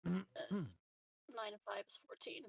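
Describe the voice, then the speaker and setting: Slow, creaky-voiced woman playing a tabletop game. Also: medium pitch, lively intonation, no room sound, studio quality. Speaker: player. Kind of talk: casual tabletop game chat.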